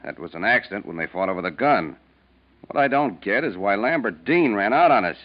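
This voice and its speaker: An earnest, troubled American policeman in a 1940s radio play. His voice sits fairly low and his delivery is blunt. The recording sounds dull and old. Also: animated, brisk. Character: troubled, conscientious male policeman. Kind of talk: 1940s radio drama acting.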